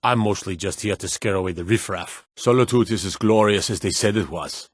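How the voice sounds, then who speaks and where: Guy doing a gruff nord guard for a fantasy game, curt and proud, husky low voice. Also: a little fast, no room sound, studio quality, close to an everyday voice. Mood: blunt, matter-of-fact. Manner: blunt, curt, folksy rustic phrasing. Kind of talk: fantasy video game character dialogue.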